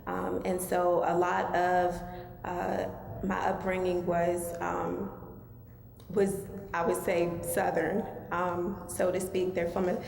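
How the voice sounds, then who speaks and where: A woman from Maryland telling her personal story as a guest speaker, soft-spoken and hesitant, with many pauses. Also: lowish voice, monotone, creaky. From Maryland, USA. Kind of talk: personal testimony talk. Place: mosque hall. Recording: echoey hall, faint hum.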